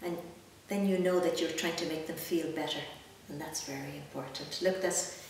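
An Irish woman in her sixties reads a picture book to young children, adding gentle, reassuring, chatty asides in simple words. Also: low-pitched, fairly even intonation, normal speed, vocal fry. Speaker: children's story reader. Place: small indoor room with bookshelves. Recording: roomy echo, distant mic, background noise.

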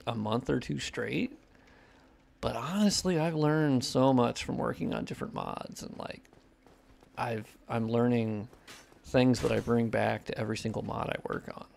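A man's voice: medium pitch, gravelly, a little mellow. A young man with a nasal voice chatting casually while he plays and mods a game, cursing freely and answering chat questions. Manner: profane, reads chat questions aloud. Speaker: modder streaming gameplay.

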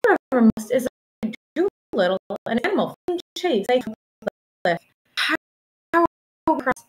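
A young woman reading a children's picture book aloud in an expressive, deliberate teacherly storytelling manner. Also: high voice, rough recording. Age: young adult. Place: small room at home, indoors.

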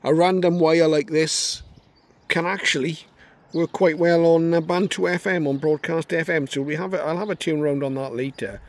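A man's voice: a little high, steady tone, normal speed. A man casually demonstrating a radio antenna outdoors in a garden, recorded on a phone, thinking aloud with fillers and restarts. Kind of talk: casual hands-on demo narration. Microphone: phone held near the radio. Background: radio static and station audio.

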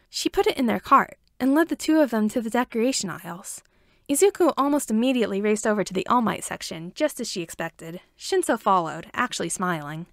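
A woman's voice: fairly high pitch, no room sound, clean studio sound. A woman reading a story aloud as an amateur audiobook narrator, in scripted narration.

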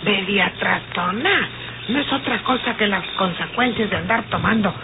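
A woman's voice: medium pitch, moderate pace, natural voice. An actress playing an anxious, talkative woman in an old radio melodrama, with a Mexican accent. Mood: gossipy, confiding. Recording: very noisy, tinny old radio recording.

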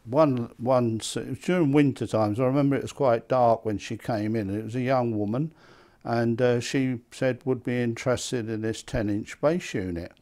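A man in his seventies with an English accent, reminiscing conversationally in an interview, halting and repeating words. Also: medium pitch, darkish tone. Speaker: loudspeaker maker being interviewed. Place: small indoor hi-fi demonstration room. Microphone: lapel mic.

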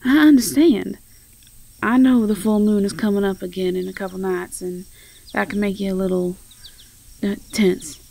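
A woman playing a sweet, quick-witted young farm woman with a Southern US accent in an audio roleplay, folksy and teasing. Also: medium pitch, steady tone, creaky, dark tone. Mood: fond, reassuring.

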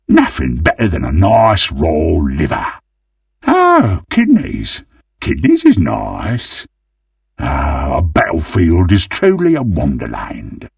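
A man voicing a greedy, gleeful, monstrous undead butcher in a video game, with a gravelly, husky British-accented voice and a menacing, theatrical manner. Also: very deep voice, no echo, clean studio sound, distant mic, heavily acted. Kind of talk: video game character voice lines.

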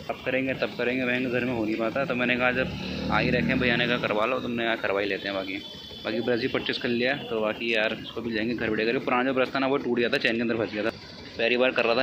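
A man's voice: medium pitch, flat delivery, quick pace, background noise. A young man vlogging casually on a phone at a bike service shop, chatty and constantly addressing viewers as brother. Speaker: motovlogger. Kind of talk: casual vlog narration to camera. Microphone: phone camera.

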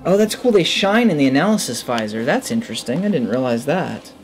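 A man in his thirties giving casual game commentary into a headset, thinking aloud in short, low-key remarks. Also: sing-song, fairly quick. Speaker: gamer, let's play host. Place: indoor room at a gaming setup.

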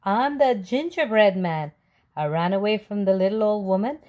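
A woman's voice: medium pitch, expressive, normal speed, a little mellow, voice pushed up, lightly acted. A woman voicing a cheeky, boastful little gingerbread man in a sing-song storybook read-aloud, with an odd little voice. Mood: gleeful taunting. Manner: sing-song chanting, taunting.

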